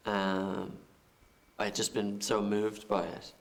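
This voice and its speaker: An Irish film director answering interview questions, halting and repeating words as he thinks. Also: lowish voice, monotone, gravelly. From Ireland.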